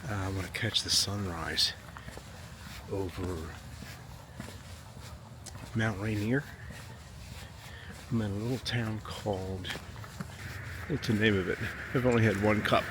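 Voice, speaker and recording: A sixty-year-old man talks casually to his travel vlog in a low voice. He rambles a little and pokes fun at himself. Loud ambient noise, something like traffic outdoors, runs behind his words. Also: fairly even intonation, takes it slowly, long pauses. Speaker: travel vlogger. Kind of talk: casual vlog narration, talking to camera. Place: outdoors by a waterfront.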